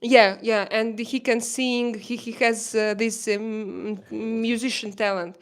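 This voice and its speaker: A woman from Russia with a Russian accent, hosting a casual livestream chat, talking haltingly with many fillers and frequent laughter. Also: fairly high pitch, fairly even intonation. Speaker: livestream host.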